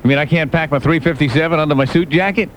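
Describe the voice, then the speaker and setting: A man's voice: fairly high pitch, quick pace, a bit bright, slight background noise. A man hosting a radio show, bantering comically with a caller in theatrical, slangy phrases. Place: radio studio.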